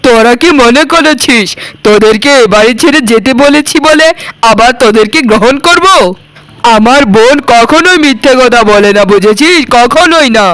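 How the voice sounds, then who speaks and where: A man's voice: very high voice, animated, normal speed, a bit bright, rough recording. A man playing an angry, domineering village husband and protective brother in a cartoon, scolding melodramatically. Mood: scornful, angry. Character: furious overprotective brother, harsh domineering husband.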